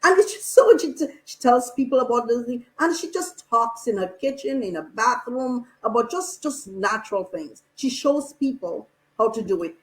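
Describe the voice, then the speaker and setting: A woman guest on a radio panel who runs an online shop, speaking with enthusiasm and many halting restarts. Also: on the high side, lively intonation, rough recording.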